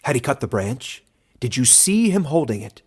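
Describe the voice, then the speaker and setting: A man's voice: medium pitch, big pitch swings, normal speed, a little mellow, slightly rough, pitched above the performer's own voice. A man voicing a stern, impatient old village elder who gives curt, contemptuous commands in a fantasy audiobook. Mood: suspicious. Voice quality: broken edge in the voice.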